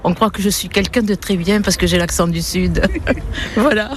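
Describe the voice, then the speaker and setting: An elderly woman with a softened Toulouse accent chats as an interviewee, chuckling and repeating short phrases. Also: fairly low pitch, steady tone, normal speed, slight background noise. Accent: softened Toulouse, southern French. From Toulouse, southern France. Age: 70s or older. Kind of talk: casual chat.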